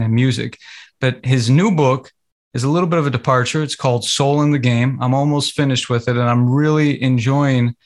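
A man hosting a podcast, introducing his guest from a script and then asking questions. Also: medium pitch. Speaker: podcast host.

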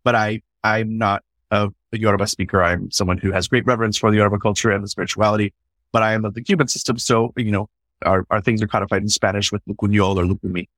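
A man's voice: lowish voice, steady tone, quick pace, a bit bright, dry recording, low-quality recording. A man speaking in an enthusiastic, conversational way, swearing casually and often restarting his sentences. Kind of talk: conversational podcast discussion.

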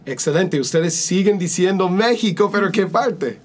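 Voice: mid-range voice, lively intonation, moderate pace, a little hiss or hum. A host interviewing guests in Spanish, upbeat and often repeating words as a sentence starts.

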